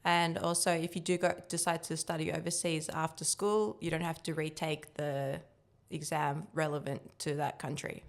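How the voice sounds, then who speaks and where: A woman's voice: deep voice, normal speed, gravelly. A young woman whose first language is Turkish, chatting easily as a guest on a podcast interview. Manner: relaxed, chatty answers. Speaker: guest, building cost estimator.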